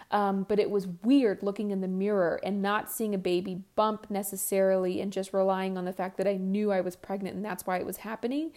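A woman's voice: mid-range voice, mellow. A woman in her thirties hosting a solo podcast, answering listener questions in a candid, chatty way with frequent restarts and self-deprecating asides. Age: 30s. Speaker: podcast host.

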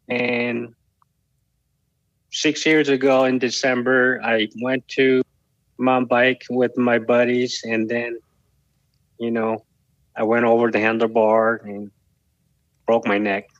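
A middle-aged man whose first language is Korean, answering interview questions slowly with many pauses and fillers. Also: medium pitch, flat delivery, a bit bright, no room sound, highs cut off. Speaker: guest. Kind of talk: podcast interview.

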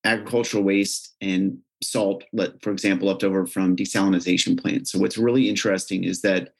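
A man from the States with a somewhat low voice talks easily and conversationally, sprinkling in "you know" as he explains. He sounds relaxed and at home in a business interview. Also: brisk, vocal fry, husky. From United States. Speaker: company executive, guest. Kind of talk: interview answers.

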